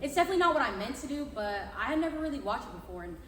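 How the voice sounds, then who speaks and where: A woman's voice: high voice, big pitch swings, rapid-fire, fairly bright tone. A young woman playing an embarrassed teenage girl, earnestly confessing in readers theatre. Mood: embarrassed confession. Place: large carpeted conference room, far camera. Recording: echoey hall, distant microphone.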